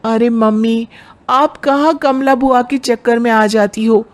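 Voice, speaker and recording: A woman's voice: on the high side, steady tone, measured pace, voice pushed up, close to an everyday voice. A woman voicing a young, educated, reluctant bride-to-be who protests plainly, in a cartoon family drama. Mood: protesting.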